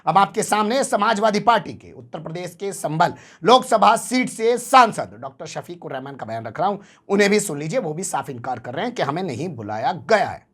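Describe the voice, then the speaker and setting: A man's voice: sits high, big pitch swings, fairly quick, hardly any pauses, a bit bright, breathy edge. A man presenting news commentary, sarcastic and full of rhetorical questions to the viewer.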